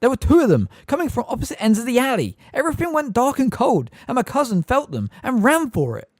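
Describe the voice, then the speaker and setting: A man doing a loud, defiant teenage boy. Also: extremely high pitch, wildly swooping pitch, quick pace, dry recording, studio quality, pitched above the performer's own voice, full character voice. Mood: urgent. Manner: loud, urgent. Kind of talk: audiobook character voice.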